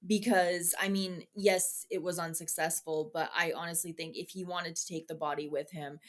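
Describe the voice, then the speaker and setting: A woman's voice: on the low side, fairly even intonation, normal speed. A woman co-hosting a true-crime podcast, speaking informally with strong opinions and jokey asides. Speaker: podcast co-host.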